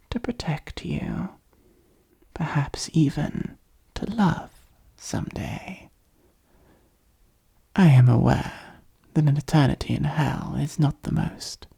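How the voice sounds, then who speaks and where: A possessive demon lord acted out by a performer murmurs in a very breathy, husky half-whisper. The voice is slow and mellow, with formal, intimate phrasing suited to an ASMR roleplay. It sounds commanding yet softly devoted. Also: medium pitch, exaggerated voice.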